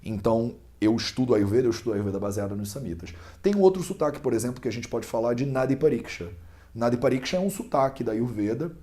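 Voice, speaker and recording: Guest interviewee: young Carioca man, mellow, dark-toned voice, explains patiently by analogy. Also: medium pitch. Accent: Carioca Brazilian Portuguese. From Brazil. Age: young adult. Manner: patient explaining with everyday analogies, frequent restarts. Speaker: Ayurveda student interviewed as a guest.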